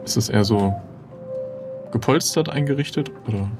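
Man, middle pitch, casual adventure player, tentative questions, faint music behind. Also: normal speed. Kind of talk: casual in-character role-play chat.

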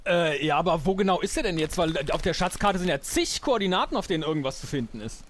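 A man's voice: a little high, lively intonation, fast talker, slight background noise. A man improvising in an audio-play role-play, thinking aloud and often repeating his words. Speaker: guest player.

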